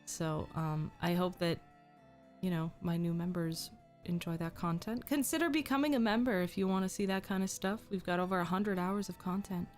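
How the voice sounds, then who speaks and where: A woman's voice: fairly low pitch, fairly even intonation, moderate pace, darkish tone, faint music behind. A young woman streamer chatting casually with her viewers, a little sick and losing her voice, with frequent ums. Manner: chatty, reads and answers chat, frequent ums. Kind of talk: casual livestream chat.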